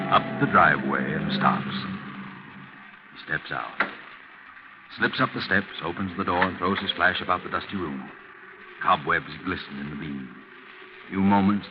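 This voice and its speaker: An actor playing a sinister all-knowing storyteller in a 1940s radio thriller, with suspenseful, clipped phrasing. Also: male voice, deep voice, background noise, natural voice. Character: sinister male omniscient storyteller addressing characters. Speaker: narrator. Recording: muffled 1940s radio recording with music.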